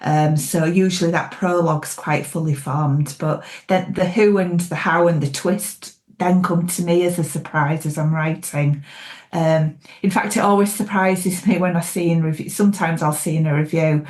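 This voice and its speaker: A woman with a Yorkshire accent chats warmly as an interview guest, her voice sitting a little low. She talks with plenty of ums and laughs easily. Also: steady tone, normal speed. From Yorkshire, England. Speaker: author guest. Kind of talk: casual interview chat.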